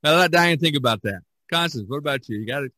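A man's voice: a little high, lively intonation, quick pace, pushed and bright, no echo. A middle-aged or older American man from Indiana hosting a casual podcast chat, often chuckling as he talks. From Indiana, United States. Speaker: podcast host.

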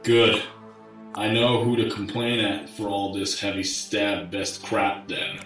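An actor playing a weary, sarcastic, grumbling sewer maintenance worker in an audio drama. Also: male voice, on the low side, steady tone, slow pace, a bit bright, faint music behind. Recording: echoey tunnel, distant from the mic.

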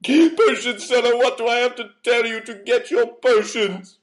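A man's voice: very high-pitched, moderate pace, voice pushed up. A man voicing a desperate, insistent knight in a comic cartoon sketch, pleading and indignant.

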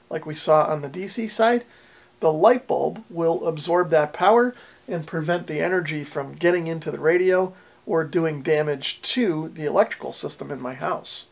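An American man in his forties giving an informal technical tutorial in a methodical, explanatory way. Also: high voice. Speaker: electronics repair video host. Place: small unfinished basement workshop.

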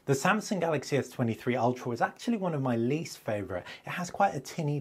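A man's voice: mid-range voice, slightly rough. A man in his thirties giving a scripted tech review to camera as a video host, recorded in a small foam-treated room. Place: small room lined with acoustic foam panels.

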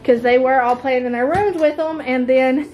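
High-pitched woman, chatty. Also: moderate pace, darkish tone, soft background music. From United States. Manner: chatty, rambling. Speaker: homemaking vlogger. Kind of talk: casual chat to camera. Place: indoors, open-plan living room and kitchen of a mobile home. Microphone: handheld camera at arm's length.

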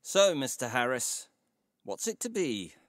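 An actor playing a courteous, mysterious old tailor in an audio story, speaking in a formal, deliberate way. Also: male voice, mid-range voice, big pitch swings, no echo, studio quality. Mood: calm, courteous. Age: older. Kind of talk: dramatised audio short story acting.

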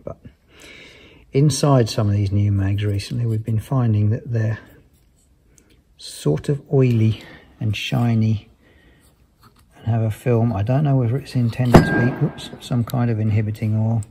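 A man's voice: on the low side, steady tone, a little slow, long pauses, warm tone, breathy edge. A man giving an informal technical explanation in a matter-of-fact, understated and somewhat hesitant way, as a maintenance engineer. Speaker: aircraft maintenance engineer presenting.

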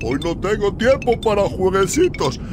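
A man's voice: sits high, lively intonation, moderate pace. A man voicing a fussy, self-important little crab who composes for the court, pompous and indignant, in a video game. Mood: indignant, flustered. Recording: music under the voice.

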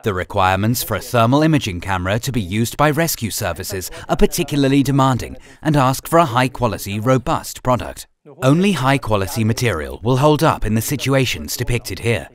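A man reading a scripted training film narration in a measured, instructional manner over music. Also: medium pitch, sing-song.

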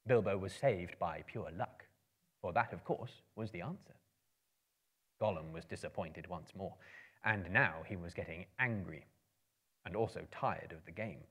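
A man in his thirties reading a story aloud dramatically, in a theatrical, expressive storyteller's manner. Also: sits low, measured pace, husky. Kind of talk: dramatic book reading to a live audience. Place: indoor room with bookshelves, small live audience.

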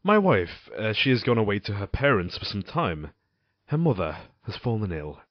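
An actor playing a guilt-ridden husband and murderer in a gothic audio drama, speaking formally and theatrically with an outward show of smug composure. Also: male voice, fairly low pitch, sing-song, no room sound, muffled recording. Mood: feigned ease. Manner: measured, theatrical, formal old-fashioned diction.

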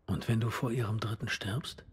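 An actor playing a weary, earnest army squad leader in a dubbed war game, shifting between command and brooding monologue. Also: male voice, fairly low pitch, fairly even intonation, moderate pace, lightly acted. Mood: hushed, brooding. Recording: faint background noise.

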